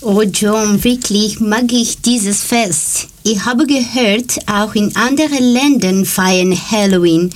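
A woman from Greece co-presenting a scripted segment in slow, deliberate learner German. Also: mid-range voice.

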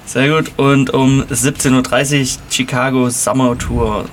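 A man's voice: mid-range voice, flat delivery, a little slow, a little hiss or hum. A man on a radio show reading out a festival program, hesitant, with many fillers and stumbling over names. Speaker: festival organizer guest.

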